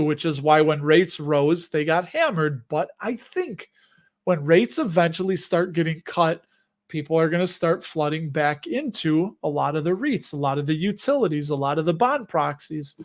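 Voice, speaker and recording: Man, Chicago accent, middle-aged, slightly high-pitched, rambling livestream host, self-amused. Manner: rambling and chatty, with frequent restarts and self-amused laughs. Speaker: host of a dividend investing livestream. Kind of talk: casual livestream chat answering viewer questions.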